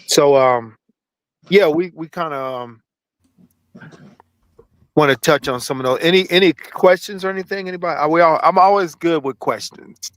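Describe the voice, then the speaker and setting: A man's voice: a little high, moderate pace, long pauses, a bit bright, no echo. A man hosting a livestream in casual chat, rambling, profane and self-assured. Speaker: livestream host.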